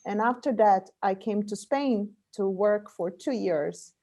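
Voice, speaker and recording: A woman's voice: mid-range voice, unhurried, mellow. A middle-aged woman from Brazil, a Portuguese speaker, answering interview questions as a guest about her career and business. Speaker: guest, corporate venture investor.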